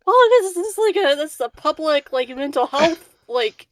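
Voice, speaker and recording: A woman with a fairly high voice banters casually as a player in a tabletop game. She is giggly and exasperated, with plenty of swearing, and the sound is dry and close. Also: expressive, moderate pace, dry recording. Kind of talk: casual in-character improvised banter.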